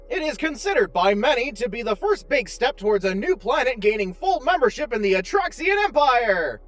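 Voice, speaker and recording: A man's voice: very high voice, a bit bright, full character voice. A man playing a pedantic, formal tour guide AI in a scripted space comedy audio drama. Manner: formal, precise, didactic. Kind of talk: scripted announcement and narration.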